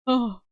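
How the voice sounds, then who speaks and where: A woman streamer chatting on a call, soft-spoken and laughing often. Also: no room sound, phone-quality sound. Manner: giggly, laughs often, speaks softly. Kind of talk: casual livestream chat on a call.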